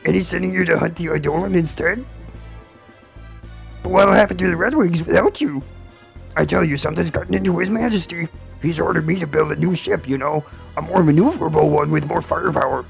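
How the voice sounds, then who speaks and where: A man voicing a gruff, blustering older airship engineer from a video game, gravelly, folksy and blunt. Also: on the high side, a little fast. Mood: worried grumbling. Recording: loud game music behind.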